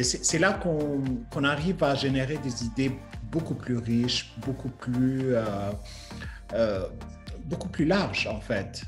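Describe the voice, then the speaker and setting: A middle-aged man from Lebanon talks as an interview guest on a video call. His medium-pitched voice is effusive and halting, repeating words and slipping in English, with music behind him. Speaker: guest, fashion creative director. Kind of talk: enthusiastic conversational interview. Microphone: remote video call.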